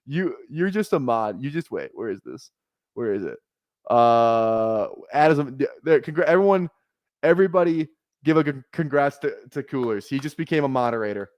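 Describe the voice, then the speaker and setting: A man's voice: mid-range voice, very animated, moderate pace, a little mellow. A man in his mid-twenties talks loosely to his stream chat, wandering off track, swearing freely and laughing at himself. His voice sits in an ordinary middle range. The sound is dry and close, with no room echo. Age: 20s. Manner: rambling, laid-back, swears often, laughs at himself. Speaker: fantasy football streamer. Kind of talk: casual livestream chat. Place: small apartment room.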